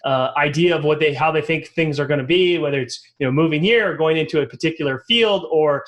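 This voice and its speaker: A man's voice: fairly high pitch, quick pace, fairly bright tone. A man hosting an interview podcast, asking loose, rambling questions and restarting his sentences. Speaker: podcast host and company founder.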